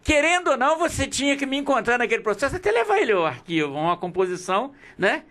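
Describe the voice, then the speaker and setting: A man's voice: very high-pitched, very animated, fast, pushed and bright. A man in his seventies with a Brazilian accent, hosting a radio interview in a conversational, opinionated way. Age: 70s. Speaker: radio host.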